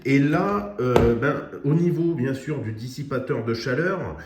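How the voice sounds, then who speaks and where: A man's voice: mid-range voice, lively intonation, measured pace, reverberant, background noise. A man giving a casual product review of a radio, with lots of fillers and restarts. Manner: hesitant, many fillers and restarts.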